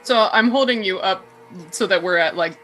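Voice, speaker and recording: Tabletop player chatting in character, really bright voice, some music behind. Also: medium pitch, moderate pace, poor audio. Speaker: player in a tabletop roleplaying game. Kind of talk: casual in-character improv.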